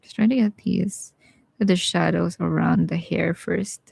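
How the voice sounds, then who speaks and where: A young woman with a Bisaya Filipino accent who teaches watercolor live, talking casually and thinking aloud as she paints, with some quiet asides. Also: on the low side, steady tone, unhurried, vocal fry, breathy edge, dry recording. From Philippines. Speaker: watercolor artist streaming live. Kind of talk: live painting tutorial with chat replies.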